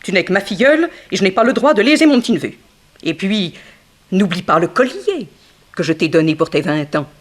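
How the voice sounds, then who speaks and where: An actress playing a frank, wry young dancer in an early 1960s French radio mystery, theatrical and gossipy. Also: female voice, medium pitch, big pitch swings, fairly quick. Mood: wistful, candid.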